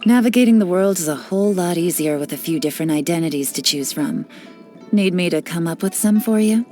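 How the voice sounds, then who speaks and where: Yelan, a woman voicing a sly, unhurried spy. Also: lowish voice, faint music behind, lightly acted. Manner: teasing, relaxed, lightly sly. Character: cool, teasing female spy, sly and unhurried. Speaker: game character voice lines. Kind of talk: studio game voice-over.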